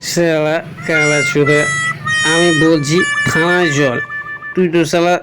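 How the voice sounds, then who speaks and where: A man's voice: fairly high pitch, flat delivery, a little slow, heavy background noise, close to an everyday voice. A man voicing a crude, grumbling village drunkard who whines and wheedles in a comic cartoon. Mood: scornful. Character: cheeky, grumbling village drunkard begging for booze. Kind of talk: comic Bengali cartoon dubbing. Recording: music behind the voice.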